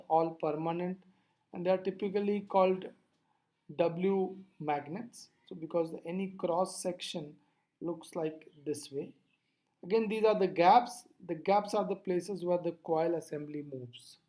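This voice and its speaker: Mellow-voiced physics lecturer. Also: medium pitch. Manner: didactic, deliberate, poses questions then answers them. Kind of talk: explanatory lecture over slides.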